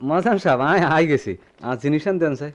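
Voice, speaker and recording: An actor playing an excitable, fretful customer in a radio drama, with a Noakhali accent and an exclamatory, wailing manner. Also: male voice, fairly high pitch, quick pace, close to an everyday voice. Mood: demanding. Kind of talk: Bengali radio drama acting.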